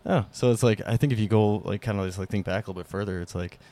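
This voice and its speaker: A man's voice: on the low side, quick pace, warm tone, no echo. A man hosting a podcast in casual chat, agreeable and informal, rambling as he goes. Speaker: podcast host.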